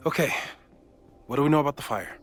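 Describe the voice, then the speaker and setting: An actor playing a calm, cautious, scientifically minded man in a video game drama, reasoning in precise, formal phrases. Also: on the high side, fast talker, faint music behind, lightly acted. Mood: analytical.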